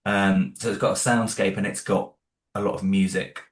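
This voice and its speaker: A low-pitched man talks through his audio drama in a relaxed, rambling interview, restarting his sentences as he goes. His voice rings around an echoey room. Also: moderate pace. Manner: rambling, many restarts, laughs along. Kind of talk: casual interview chat.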